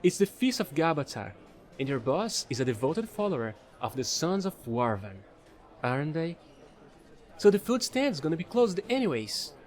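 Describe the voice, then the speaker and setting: A man's voice: on the high side, sing-song, moderate pace, a little mellow. A man playing an offbeat, chatty fallen angel space trader in an audio roleplay, rambling playfully to the listener in casual slang. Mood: coaxing.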